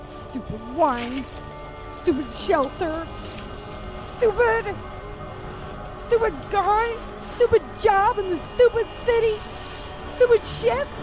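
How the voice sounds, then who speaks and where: A woman acts out a trapped, distressed woman in an audio drama. Her voice is high and comes very slowly, in halting, frustrated bursts, over clear music. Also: heavily acted. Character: trapped, panicked, frustrated woman pinned under wreckage. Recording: music behind the voice.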